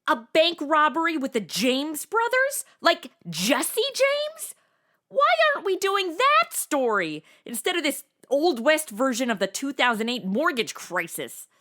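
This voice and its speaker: A woman's voice: high-pitched, very animated, unhurried, pushed and bright, heavily acted. A woman playing a flippant, sarcastic modern young woman stuck in the Old West, in a comedy audio drama. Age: young adult. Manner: sarcastic, slangy, breezy.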